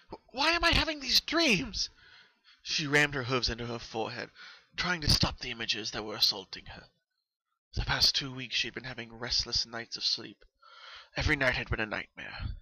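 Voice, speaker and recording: A man narrates a dark horror story in a solemn, dramatic way. His voice is very bright and tense, at a medium pitch, and recorded very dry. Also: dry recording, poor audio. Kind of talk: dramatic reading of horror fan fiction.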